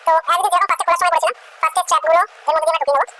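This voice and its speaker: A woman's extremely high voice rattles through tutorial steps at breakneck speed. Some ambient noise sits behind the narration. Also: fairly even intonation, rapid-fire, a bit bright. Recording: noisy ambient background.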